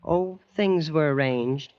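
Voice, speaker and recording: An American actor playing a blithe, boastful young heir in an old radio drama, with a nonchalant, condescending manner. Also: male voice, a little high, slow pace, darkish tone. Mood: smug, nonchalant. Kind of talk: 1940s-50s radio acting. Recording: dull, lo-fi vintage radio recording.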